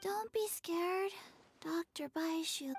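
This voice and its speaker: A woman voicing a timid, obedient little girl helper in a video game, with a soft childlike voice and halting speech. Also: extremely high pitch, music in the background, exaggerated voice. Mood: subdued. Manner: slow, halting.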